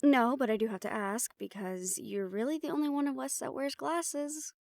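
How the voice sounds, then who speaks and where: A woman's voice: high-pitched, fairly even intonation, normal speed, gravelly, darkish tone, no room sound. A woman playing a playful, sarcastic and tired young team member in a fantasy audio drama, talking casually. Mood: teasing. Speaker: voice actor in an audio roleplay. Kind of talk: fantasy audio drama roleplay, conversational acting.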